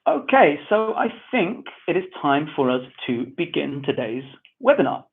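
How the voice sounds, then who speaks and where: A man from England with a Manchester accent, hosting an online webinar and calmly giving welcome and housekeeping instructions. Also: a little high, normal speed, gravelly. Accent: Mancunian English. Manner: friendly, repetitive, relaxed instructions. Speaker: webinar host. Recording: tinny phone-like online audio.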